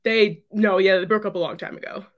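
A hoarse young woman co-hosting a podcast, chatting excitedly and rambling with lots of "like". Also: mid-range voice, fairly quick, highs cut off. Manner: excited, rambling with many likes. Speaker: podcast co-host. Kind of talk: casual chat.

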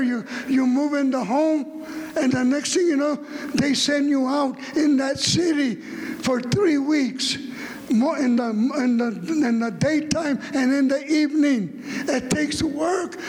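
A middle-aged man from the United States preaching a fervent revival sermon, repeating phrases and rising to shouts. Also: very high-pitched, fairly even intonation, slow pace. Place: large church hall. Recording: long hall reverb.

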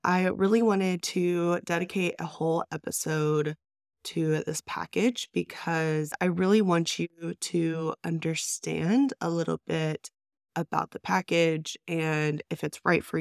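A woman's voice: low-pitched, creaky, dry recording, clean studio sound. A woman hosting her own business podcast, talking in an upbeat, chatty way. She says she is congested, and her voice sounds stuffy and nasal. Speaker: podcast host and brand designer. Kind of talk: solo business podcast talk.

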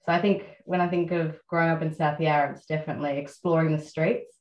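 Low-voiced Australian woman, echoey sound. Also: fairly even intonation. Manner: reflective, many fillers and pauses. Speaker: guest writer. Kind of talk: relaxed interview conversation.

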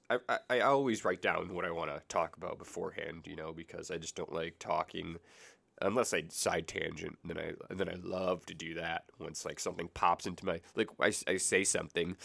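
A man's voice: sits low, creaky, a bit bright. A man of about twenty with a stuffy, congested nose, hosting a casual solo podcast from a basement room, rambling through tangents and correcting himself. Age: around 20. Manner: rambling, goes off on tangents, self-correcting, joking sarcasm. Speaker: podcast host.

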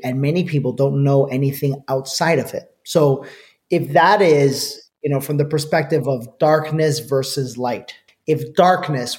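Podcast host guy, earnest, long rambly questions, regular pitch. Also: a little mellow. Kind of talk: casual interview hosting.

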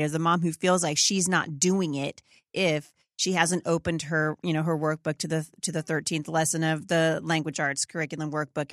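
A woman's voice: deep voice, no echo, studio quality. A middle-aged American woman hosting a casual interview, informal and emphatic, often checking in with the listener. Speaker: podcast host, homeschool mom.

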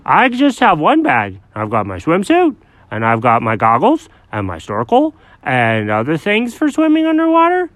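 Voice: mid-range voice, wildly swooping pitch, normal speed, fairly bright tone, no echo, voice pushed up. Storyteller's lightly raised confident dog voice, a breezy know-it-all, bouncy delivery, dry room. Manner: confident, matter-of-fact.

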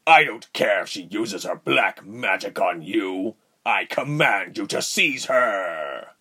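A man's voice: lowish voice, animated, slow pace, pushed and bright, slightly rough, exaggerated voice. A man voicing a cruel, arrogant general in a fantasy storybook, with a menacing, commanding manner. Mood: screaming orders. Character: cruel, arrogant male general, wicked villain.

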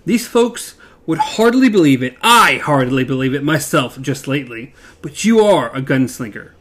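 A man reading aloud as an angry, sarcastic teenage gunslinger boy who shouts and mocks. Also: mid-range voice, animated, normal speed. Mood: urgent. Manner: bitter, shouting, mocking.

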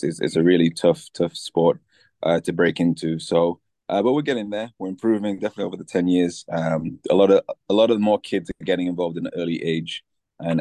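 A man's voice: sits low, dark tone, no room sound. A man, a guest in a casual interview who talks easily with many fillers and restarts. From Tanzania.

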